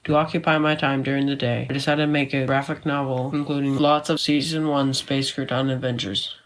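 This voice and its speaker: A man's voice: medium pitch, level tone, a little fast, a bit bright, lightly acted. A man playing an easygoing, adventurous teenage boy, narrating his own story in a matter-of-fact way for an audio drama. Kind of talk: scripted first-person story narration.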